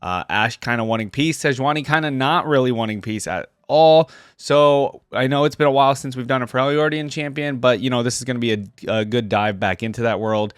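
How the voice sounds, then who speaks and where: Male, reaction channel host, medium pitch, casual commentary and read-aloud delivery, dry echo-free sound. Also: brisk, hardly any pauses, clean tone.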